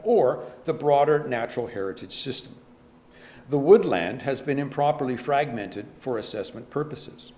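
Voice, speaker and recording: A man giving a formal, measured presentation to a council committee from a podium microphone. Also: mid-range voice, a little mellow. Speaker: public delegate presenting. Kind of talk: formal prepared submission. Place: council chamber.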